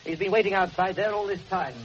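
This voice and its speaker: Actor as grumbling, high-voiced police inspector. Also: male voice, fairly even intonation, quick pace, close to an everyday voice. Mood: grumbling, sceptical. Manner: blustery, sceptical, formal when questioning. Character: exasperated, grumbling, practical male police inspector. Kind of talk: full-cast radio mystery drama acting. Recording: muffled noisy old radio recording.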